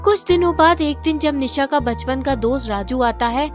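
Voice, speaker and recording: High-voiced woman narrating a story. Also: normal speed, over music.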